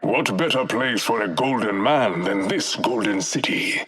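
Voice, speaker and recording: An actor voicing a proud warrior king in a video game, with an African accent and a measured, dignified, formal manner. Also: fairly low pitch, a bit bright, breathy edge. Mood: measured, regal.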